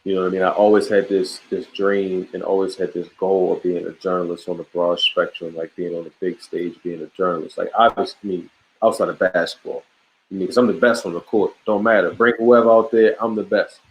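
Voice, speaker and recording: A man talking as an interview guest in a relaxed, emphatic way, full of slang, restarts and boasts. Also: deep voice, brisk, slight background noise, poor audio. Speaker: podcast host, guest on the show. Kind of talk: casual interview chat.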